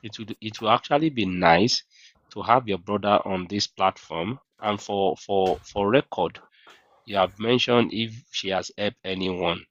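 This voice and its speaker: A man's voice: lowish voice, a little slow, a bit bright, no room sound, lo-fi sound. A man hosting a livestream, asking a caller questions and reading out viewer questions. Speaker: livestream host. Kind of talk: livestream interview.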